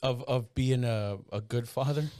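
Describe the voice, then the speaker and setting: A man's voice: medium pitch, measured pace, warm tone, no room sound, clean studio sound. A man chatting casually, often laughing and tossing in jokey remarks. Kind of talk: casual banter.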